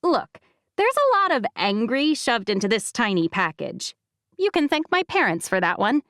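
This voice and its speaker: A feisty little young woman, as a woman narrator puts her on, bright and high, who teases and threatens with a grin. Her lines bounce with lively pitch, read close to a dry microphone. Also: moderate pace, no room sound. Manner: blunt, teasing, fiery. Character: sassy petite young woman friend.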